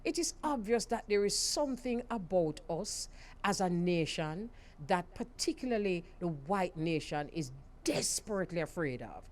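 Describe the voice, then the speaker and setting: A woman with a Jamaican accent hosting a radio talk show, emphatic and quick to laugh. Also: fairly low pitch. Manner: lively, laughs often, emphatic. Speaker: radio host.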